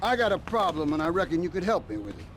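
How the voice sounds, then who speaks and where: Man doing a scheming developer, wheedling, high and lively. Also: fast, a little hiss or hum. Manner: wheedling, sly. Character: shady male property developer, folksy and scheming.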